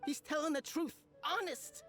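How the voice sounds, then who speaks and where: A woman's voice: high voice, normal speed, faint music behind, natural voice. A woman voicing a brusque, streetwise smuggler in a video game, with a curt, tough, exasperated manner. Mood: resigned, defensive. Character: brusque, streetwise female smuggler dealing illicit goods. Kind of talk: scripted game voice acting.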